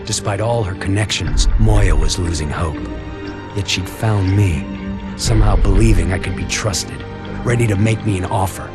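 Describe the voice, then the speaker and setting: Male actor for a cynical, ruthless American antihero in a video game; deep gravelly voice, deadpan, over heavy score. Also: normal speed, over loud music, lightly acted. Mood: grim narrating. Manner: brooding, terse, deadpan. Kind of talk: video game character narration and dialogue.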